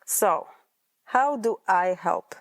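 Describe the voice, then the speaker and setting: A woman's voice: mid-range voice, animated, takes it slowly, no echo, studio quality. A woman in her thirties from Poland with a Polish accent, hosting her own podcast as a life coach in an earnest, personal way with rolled R's. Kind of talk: scripted podcast monologue.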